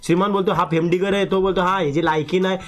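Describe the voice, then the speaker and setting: A man's voice: high-pitched, steady tone, fast talker. A young man making a prank call in casual street slang, telling his story in an agitated, complaining way. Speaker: prank caller on a live stream.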